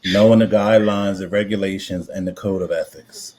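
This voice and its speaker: A man's voice: low-pitched, steady tone, fairly quick, a little mellow. A man co-hosting a casual live audio chat, emphatic and repeating words as he makes his points.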